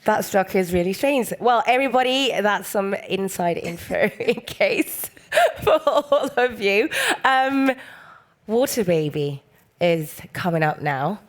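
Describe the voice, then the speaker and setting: A woman's voice: mid-range voice, expressive, measured pace. A woman hosting a live award show on a theater stage, bubbly and laughing as she chats with a guest.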